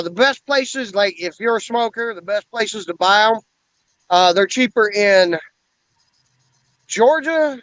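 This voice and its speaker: A man's voice: high-pitched, fairly bright tone, dry recording. A man in his forties in a casual, blunt and profane chat, rambling as he talks. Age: 40s. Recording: dull lo-fi dry sound.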